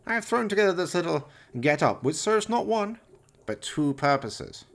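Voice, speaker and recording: An actor playing a vain, pompous, scheming mad scientist villain in a radio play, with florid, theatrical, grandiose delivery. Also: male voice, sits high, very animated, normal speed, creaky, full character voice. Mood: gloating, excited.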